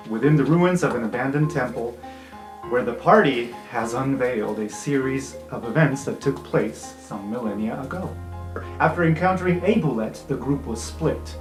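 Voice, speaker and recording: Tabletop game master, mid-pitched, narrating casually in a roomy space with music underneath. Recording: echoey room with music behind.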